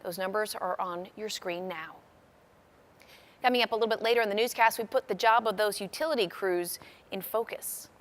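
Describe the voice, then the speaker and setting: A woman's voice: mid-range voice, normal speed, background noise. A woman anchoring a television newscast, reading scripted news in a presentational way.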